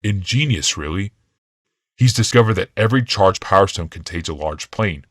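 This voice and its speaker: A man's voice: low-pitched, animated, moderate pace, no room sound, clean studio sound. A man voicing a smug, casually cruel genius in an audiobook, explaining in a taunting, casual way.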